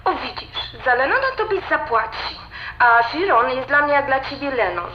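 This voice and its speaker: A woman's voice: sits high, lively intonation, moderate pace. A woman playing a pushy, defiant young adult daughter in an audio drama, through a telephone filter. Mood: insistent. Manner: insistent, cheeky. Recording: muffled phone line, echoey, faint noise.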